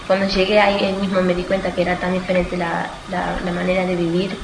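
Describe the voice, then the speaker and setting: An eighteen-year-old woman from Australia answers interview questions informally, haltingly searching for words and correcting herself. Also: on the low side, completely flat delivery. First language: Spanish. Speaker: student being interviewed. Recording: echoey room with clear background noise.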